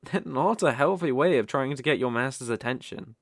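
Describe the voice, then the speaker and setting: A man with a British accent voicing a possessive, sadistic dark elf slave master in an intimate ASMR roleplay, taunting and condescending as he lingers on his words. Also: medium pitch, lively intonation, a little fast, dry recording, clean studio sound.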